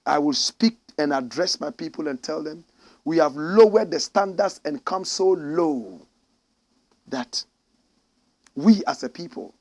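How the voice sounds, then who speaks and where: A high-voiced man from Ghana delivers fiery political commentary. He preaches and scolds, jumping from shouted outbursts to low, muttered remarks. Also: vocal fry. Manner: preachy, emphatic, swings from shouting to low asides.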